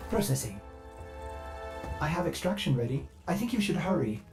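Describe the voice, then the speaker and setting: An actor playing an over-eager, fussy artificial intelligence that serves aboard a spaceship in a video game. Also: male voice, on the high side, sing-song, moderate pace. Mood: helpful, analytical. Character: chirpy, bug-addled male ship AI, eager servant with dark glitches. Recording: music behind the voice.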